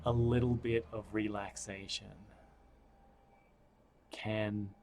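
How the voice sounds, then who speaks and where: A man guiding a breathing meditation, speaking softly and soothingly with long pauses. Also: fairly low pitch, level tone, a little hiss or hum. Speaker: meditation guide.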